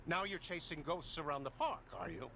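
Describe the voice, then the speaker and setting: An actor playing a smug, sarcastic middle-aged official in a video game, mocking and pompous. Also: male voice, fairly high pitch, fairly quick, sharp tone, slight background noise. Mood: accusing, sneering.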